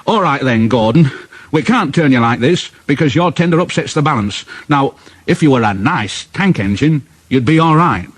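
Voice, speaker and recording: A man's voice: mid-range voice, lively intonation, moderate pace, slightly rough. A man voicing an exasperated, scolding engine driver in a children's storybook.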